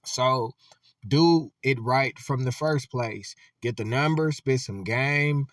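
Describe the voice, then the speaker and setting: A man's voice: medium pitch, slow, dry recording, distant mic. A man giving loose, conversational reaction commentary, full of filler words.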